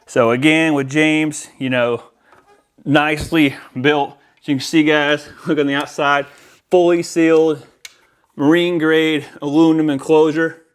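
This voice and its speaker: A man's voice: on the high side, level tone, a little slow, clear, resonant tone. Casual American man presenting a product. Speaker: audio-video installer presenting a product. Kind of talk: casual product unboxing and demonstration. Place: indoors in a house, near a window.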